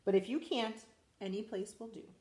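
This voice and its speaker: Animated woman reading to children. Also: mid-range voice, normal speed, reverberant. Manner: animated, expressive storytelling to children. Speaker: school principal reading aloud. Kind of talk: children's read-aloud.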